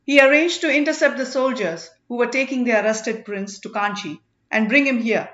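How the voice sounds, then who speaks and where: A woman voicing a treacherous male warrior in an audiobook, speaking in a loud, insistent, declamatory way. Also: a little high, normal speed, echoey room, highs cut off, close to an everyday voice. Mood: loud, accusing. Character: treacherous armed man loudly accusing a rival before crowd.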